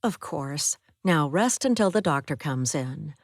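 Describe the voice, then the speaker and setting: A woman reading a firm, brisk female nurse in a hospital. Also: low-pitched, animated, no room sound, clean studio sound, heavily acted.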